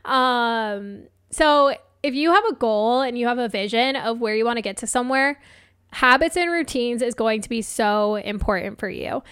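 A woman hosting a solo motivational podcast as a coach, chatting casually and encouragingly, her voice slightly hoarse. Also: a little high, normal speed, fairly bright tone, dry recording, studio quality. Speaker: podcast host and life coach.

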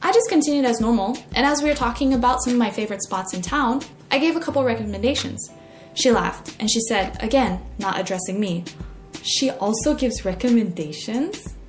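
A young woman with an American accent narrating a personal essay in an expressive way as a podcast host. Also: on the high side, quick pace. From United States. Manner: expressive, acts out quoted remarks. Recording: roomy echo, faint music.

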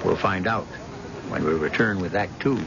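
An actor serving as a grave, suspense-building host, narrating an old radio mystery in dramatic scripted style. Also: male voice, low voice, moderate pace, background noise. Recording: muffled old radio broadcast recording. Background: music.

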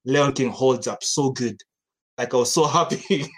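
A man's voice: mid-range voice, unhurried, husky. Young man from Uganda, podcast guest, talks in loose rambling stretches with restarts and laughs. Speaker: studio co-founder guest. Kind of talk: casual podcast chat.

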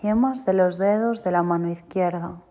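A woman guiding a yoga nidra relaxation as a teacher, giving short, soothing, deliberate instructions. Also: lowish voice, warm tone. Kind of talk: guided yoga nidra meditation. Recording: long reverberant hall-like echo.

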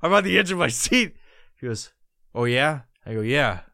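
A man's voice: on the high side, sing-song, normal speed, bright, forceful tone, no echo, studio quality. A middle-aged man from New York hosting a podcast, swearing constantly and laughing through rambling stories. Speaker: podcast host. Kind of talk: profane storytelling monologue.

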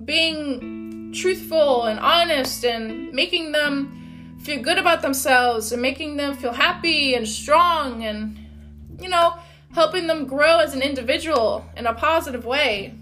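A loud, upbeat woman, hosting her own motivational podcast. Her voice sits high and bright, and she talks in an encouraging, casual way. Soft guitar music plays faintly behind her. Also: measured pace. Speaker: podcast host. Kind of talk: motivational solo podcast talk.

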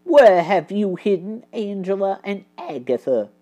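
A woman voicing a stern, commanding male mouse detective in a storybook, speaking with hushed, measured authority. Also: medium pitch, big pitch swings. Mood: stern, probing.

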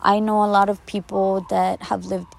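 A woman in her twenties hosts a personal podcast on her own. Her voice sits at a middle pitch for a woman. She talks in an earnest, conversational and reflective way. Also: flat delivery, moderate pace, vocal fry. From the Philippines, later the United States. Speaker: podcast host.

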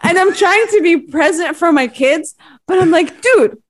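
A woman of about forty co-hosting a podcast, telling stories casually in an animated, informal way. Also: very high-pitched, normal speed. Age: around 40. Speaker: podcast co-host. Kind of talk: casual chat.

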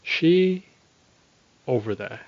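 A man teaching a podcast language lesson, speaking slowly and deliberately and repeating phrases for learners. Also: medium pitch, lively intonation, no echo, dull audio.

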